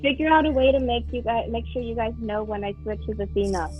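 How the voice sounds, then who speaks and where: A woman's voice: fairly high pitch, fast talker, soft background music. A woman playing a tabletop game who talks in a blunt, matter-of-fact way. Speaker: player. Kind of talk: casual tabletop game chat. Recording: dull lo-fi sound.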